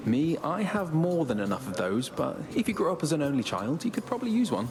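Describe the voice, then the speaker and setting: A man voicing a cheeky, breezy messenger god who chats briskly. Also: on the high side, very animated, crowd noise.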